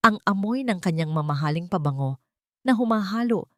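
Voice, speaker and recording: A woman narrating a scripted romance drama in an emotive, drawn-out storytelling manner. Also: medium pitch, lively intonation, a bit bright, no room sound, clean studio sound.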